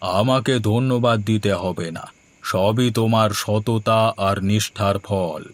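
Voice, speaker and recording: A man voicing a stern, wise holy man in a fairy tale cartoon, speaking solemnly and deliberately. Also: lowish voice, steady tone. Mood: approving, solemn.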